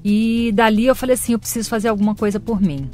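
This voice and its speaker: A woman's voice: a little high, quick pace, faint music behind. A middle-aged woman, a career coach, talking expressively in an interview and acting out quoted lines. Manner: expressive, acts out quoted voices. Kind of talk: conversational interview.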